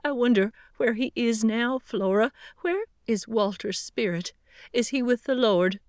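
A woman voicing a grieving older widow who speaks quickly and earnestly through tears. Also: fairly high pitch, no room sound, studio quality, voice pushed up, lightly acted. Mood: tearful worried. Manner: quick, earnest, tearful questioning.

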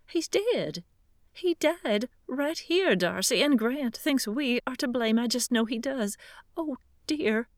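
A woman's voice: no room sound, studio quality. A woman doing a quavering, frightened old lady. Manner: breathless, pleading, rising high on upset words. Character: elderly woman, frightened and tearful.

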